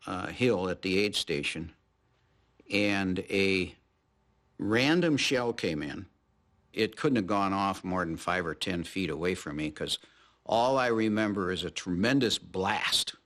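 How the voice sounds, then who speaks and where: An elderly man, a war veteran, recollecting in an interview in a halting, plain-spoken way. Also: fairly low pitch, fairly bright tone.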